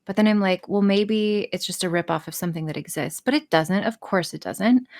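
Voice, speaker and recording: A woman's voice: mid-range voice, a little fast, a little mellow. A woman chatting casually as a podcast guest, candid, enthusiastic and free with swearing. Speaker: podcast guest, audio script writer and performer.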